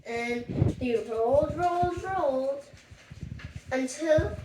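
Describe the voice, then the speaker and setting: An echoey, distant recording of a high-voiced storyteller. The telling is unhurried and halting, with words repeated as a bedtime tale is made up on the spot. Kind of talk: improvised bedtime storytelling with acted lines. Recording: echoey room, distant mic, faint noise, clicks.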